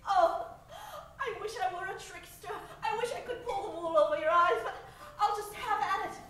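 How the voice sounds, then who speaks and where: Very high melodramatic damsel. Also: female voice, normal speed, sharp tone. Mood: coy, melodramatic. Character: coy, melodramatic young damsel in distress. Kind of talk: old-time radio comedy acting. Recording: very echoey, distant stage mic.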